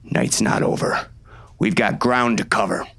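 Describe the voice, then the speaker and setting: An actor playing a hard, commanding western gang boss, stern and clipped, in an audiobook. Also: male voice, medium pitch, big pitch swings, measured pace, creaky, fairly bright tone, full character voice. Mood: cold, menacing. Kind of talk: first-person western audiobook narration and dialogue.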